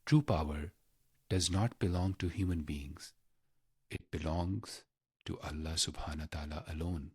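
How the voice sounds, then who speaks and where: A man guiding a meditation in a measured, reverent manner, pausing deliberately between short phrases. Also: extremely low pitch, breathy edge, no echo, studio quality. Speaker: meditation guide. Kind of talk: guided meditation narration.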